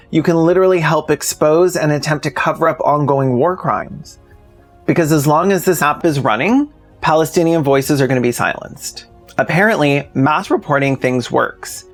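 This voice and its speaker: A man narrating a video essay as the channel's host, speaking directly to the audience. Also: a little high.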